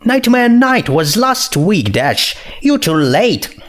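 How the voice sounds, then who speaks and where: A man's voice: sits high, very animated, slow, voice pushed up, exaggerated voice. A man voicing a bookish young pony princess with a dry, incredulous, dismissive manner, in a storybook reading.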